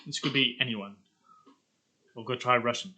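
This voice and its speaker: A man's voice: medium pitch, moderate pace, pushed and bright, slightly rough. A man chatting casually to himself and thinking aloud as he practises voice-over scripts. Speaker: amateur voice actor trying out character voices.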